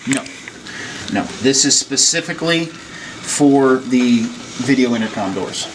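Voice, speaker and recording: A man's voice: mid-range voice, expressive, slow. Man explaining equipment over room noise. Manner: plain-spoken, explanatory, step-by-step. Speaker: technician giving staff training. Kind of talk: informal hands-on equipment demonstration. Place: indoors, at an equipment station. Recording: noisy room, clear background noise.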